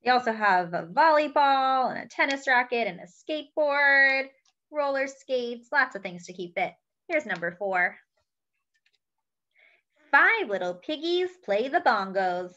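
Slow, lively storytime woman. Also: sits high, muffled recording. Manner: cheerful, slow and sing-song, counting aloud. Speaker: children's story time reader. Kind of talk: reading a picture book aloud to children. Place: small room at home, indoors.

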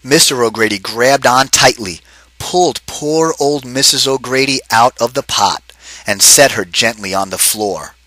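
Bright-voiced man reading to kids. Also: mid-range voice, a little slow, dry recording, poor audio. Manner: asks the kids questions between pages. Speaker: teacher reading aloud. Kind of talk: interactive read-aloud for children.

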